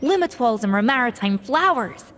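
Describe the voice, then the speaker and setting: An actress playing a spirited, curious young female chef in a video game, with an expressive, earnest and theatrical manner. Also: on the high side, normal speed, a little hiss or hum. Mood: amazed.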